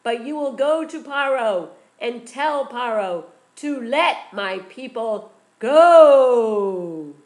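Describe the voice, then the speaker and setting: A woman booming as a mighty divine voice. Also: expressive, rough recording. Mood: commanding. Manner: slow, loud, commanding proclamations. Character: powerful booming divine male voice from a burning bush.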